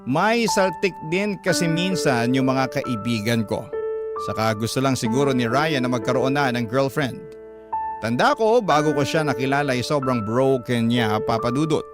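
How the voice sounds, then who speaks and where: A man's voice: mid-range voice, animated, moderate pace. A man with a Filipino accent narrating a first-person story as a radio storyteller. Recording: prominent music bed behind narration. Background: loud music.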